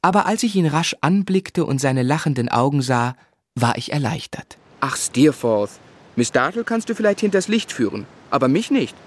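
A man playing an earnest, affectionate young man who recalls his life in measured literary phrasing for a radio drama. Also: a little high, sing-song. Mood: warm admiration. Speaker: narrator and lead actor.

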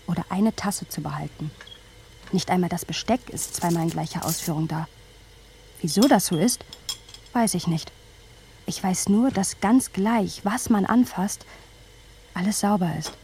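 A woman's voice: medium pitch, mellow, slight background noise, natural voice. A woman playing a young woman who narrates a radio play and teases her friend, wry and observant. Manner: wry, observant storytelling mixed with playful dialogue.

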